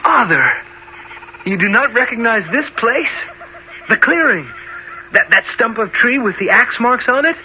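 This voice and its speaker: Jungle-raised youth of seventeen, high pitch, solemn, formal, muffled noisy radio recording. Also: male voice, lively intonation, sharp tone, full character voice. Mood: earnest, solemn. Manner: measured, solemn, formal phrasing. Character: Mowgli, young man of seventeen raised in the jungle. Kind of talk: old-time radio drama acting.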